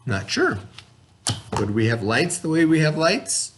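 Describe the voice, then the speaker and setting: A man's voice: a little high, very animated, a little slow, fairly bright tone, a little hiss or hum. A man teaching young children, reading a story aloud and then reviewing it with patient questions.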